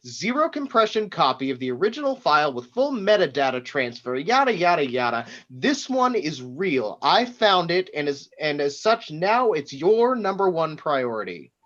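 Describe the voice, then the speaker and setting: An actor playing a brash, demanding, foul-mouthed tech tycoon, pushy and sarcastic, in a screenplay table read. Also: male voice, fairly high pitch, very animated, moderate pace, hardly any pauses, a bit bright, lo-fi sound. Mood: insistent.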